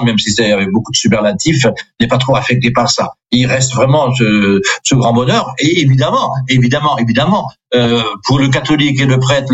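An older man from Alsace, a priest, talks freely in a radio interview, stopping on fillers and apologizing with a small laugh. His voice is husky, and the sound is a little muffled and lo-fi. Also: medium pitch. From Alsace, France. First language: Alsatian. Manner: chatty, hesitant with many fillers, self-deprecating.

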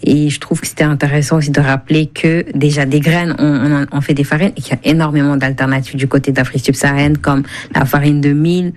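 A woman's voice: low voice, steady tone, fast, few pauses, a little mellow. A woman from Senegal explaining things conversationally as a guest in a radio interview, rephrasing as she goes. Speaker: guest, cookbook author and food blogger.

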